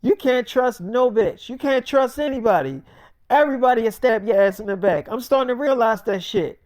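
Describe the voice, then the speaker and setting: A man's voice: very high-pitched, steady tone, brisk, darkish tone, smooth, no room sound, voice pushed up. A man voicing an angry, betrayed young woman in a storytime, accusing and swearing, hammering on repeated phrases.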